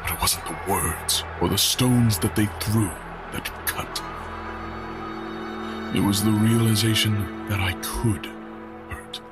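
Slow, brooding exiled outcast. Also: male voice, on the low side, steady tone, over loud music. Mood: bitter, wounded. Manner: halting, intense, brooding. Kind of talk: dramatic audio drama monologue.

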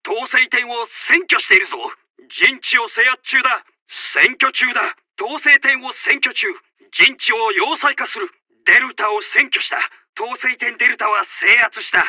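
High, forceful Japanese army officer. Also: male voice, measured pace, few pauses, no echo, exaggerated voice. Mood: urgent, reporting. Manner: forceful, clipped, barking orders. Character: commanding Japanese army officer, urgent and stern. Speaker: military announcer in a war video game. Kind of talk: shouted tactical voice lines.

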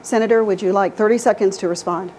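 A woman moderating a political debate with brisk, short prompts. Her voice sits at a middle pitch, and a faint hiss runs under it. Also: steady tone, darkish tone.

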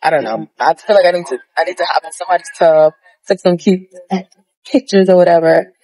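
A woman's voice: lowish voice, a little fast, mellow, dry recording, rough recording. A young woman vlogging casually to her phone while lying outdoors on a windy cruise ship deck, chatty and slangy. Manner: chatty, slangy, laid-back. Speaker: travel vlogger. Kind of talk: casual chat to camera. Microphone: phone held at arm's length.

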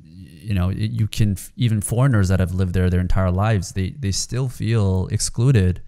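A man's voice: low voice, steady tone, normal speed, warm tone. A young man hosting a podcast, asking questions hesitantly into a close studio microphone, often repeating words. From South Korea. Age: young adult. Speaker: podcast host. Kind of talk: interview questions. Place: home room.

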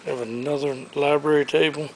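A man's voice: a little high, fairly even intonation, noisy background. A man previewing auction items, listing furniture and collectibles one after another as he walks through a large warehouse showroom, recorded on a handheld camera. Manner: listing items briskly, upbeat salesman patter.

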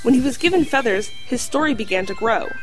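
A sensible female dog who talks played by a woman in an audio drama. She speaks formally and precisely in a highish, lively voice that swings in pitch. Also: over music, close to an everyday voice, low-quality recording. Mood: instructive. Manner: formal, precise, measured wording.

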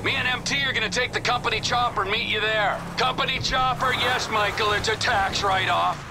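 An actor playing a volatile middle-aged career criminal in a video game, brash, sardonic and taunting. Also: male voice, high-pitched, a little fast, noisy background. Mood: brisk, sardonic. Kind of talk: video game dramatic acting.

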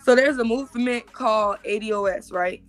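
A woman's voice: on the high side, fairly quick, faint music behind. A woman in her thirties, joining a live stream over a video call and explaining things emphatically, often repeating herself. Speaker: guest.

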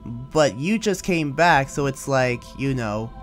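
A man's voice: mid-range voice, expressive, slow pace. Pensive man playing a weary demon slayer researcher. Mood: measured, explaining. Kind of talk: improvised Minecraft anime roleplay. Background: music.

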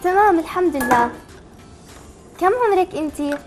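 A woman's voice: extremely high pitch, expressive, normal speed, faint music behind. A woman hosting a chatty live TV call-in, asking simple, encouraging questions. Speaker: TV show host.

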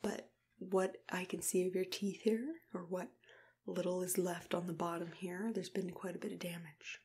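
Softly whispering young woman, ASMR roleplay. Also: fairly low pitch, fairly even intonation. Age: young adult. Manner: gentle, unhurried, reassuring. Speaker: ASMR roleplayer playing a dentist.

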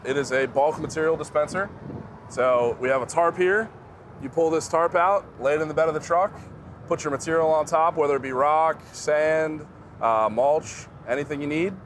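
A man's voice: fairly high pitch, moderate pace, a little hiss or hum. A man exhibitor demonstrating a truck bed conveyor at an outdoor trade show, plain and direct. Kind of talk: product demo explanation.

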